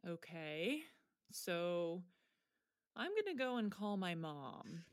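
Woman acting dry, lowish-voiced sardonic teenage girl. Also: slow pace, clear, resonant tone, no room sound, exaggerated voice. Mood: wary. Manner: dry, deadpan, blunt. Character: sardonic, injured teenage girl, high school soccer player. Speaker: actor in audio drama. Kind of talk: naturalistic audio drama acting.

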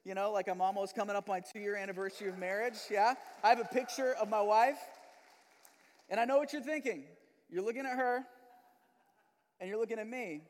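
A young man from the Philippines, a pastor, opening his sermon with casual, rambling chat full of fillers. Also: sits high. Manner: chatty, filler-heavy, self-deprecating. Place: church hall. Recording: long hall reverb.

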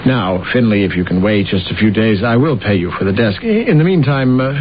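Actor as a glib, scheming husband on muffled old radio. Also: male voice, fairly low pitch, expressive, brisk, a little hiss or hum, lightly acted. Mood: glib, evasive. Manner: glib, evasive, boastful. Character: scheming, self-deluded husband with grandiose political ambitions. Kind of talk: old-time radio drama acting.

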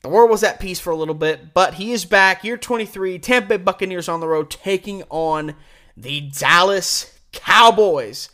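Higher-pitched man hosting a sports show. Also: normal speed, pushed and bright. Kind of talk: live sports commentary with game picks.

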